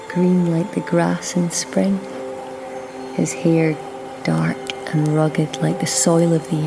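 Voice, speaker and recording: A woman playing a proud, lonely, cursed young daughter of a goddess in an audio drama, speaking in a measured, hushed, solemn way. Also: lowish voice, vocal fry. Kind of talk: audio drama acting with first-person narration.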